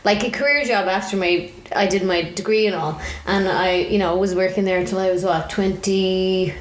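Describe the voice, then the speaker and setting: A woman's voice: mid-range voice, steady tone, moderate pace. A young Irish woman hosting a casual chat, responding in a giggly, sympathetic way. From Ireland. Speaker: host interviewer. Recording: roomy echo, faint background noise.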